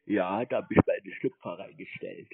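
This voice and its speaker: A man in a phone conversation, talking in a deadpan way and playing naive. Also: low voice, normal speed, creaky, slightly rough, dry recording. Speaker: prank call recipient stringing along a scammer. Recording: muffled narrowband phone audio.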